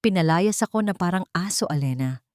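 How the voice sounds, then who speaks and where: A man's voice: sits high, expressive, fairly quick, darkish tone, dry recording, clean studio sound. A woman voicing an old, betrayed but loyal fisherman in a Tagalog story, speaking with earnest grief. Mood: sorrowful.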